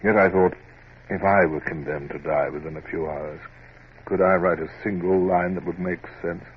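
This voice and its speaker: Naval officer memoirist male actor, low register, formal, literary and reflective, dull noisy old radio-drama sound. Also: steady tone, normal speed, close to an everyday voice. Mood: thoughtful, grave. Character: reflective, scrupulous young male Royal Navy officer narrating memoirs. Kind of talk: dramatic first-person radio drama narration.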